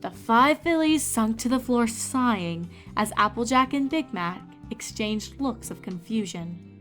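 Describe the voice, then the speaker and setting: A woman's voice: a little high, lively intonation, a little slow, a bit bright, faint music behind. A woman reading scripted story narration as the narrator of a fan fiction.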